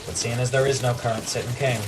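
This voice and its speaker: An actor playing a dutiful young knight gatekeeper in a fantasy radio drama, speaking in firm, formal proclamations. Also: male voice, medium pitch, fairly even intonation, fast, far from the mic, noisy background, lightly acted. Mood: commanding.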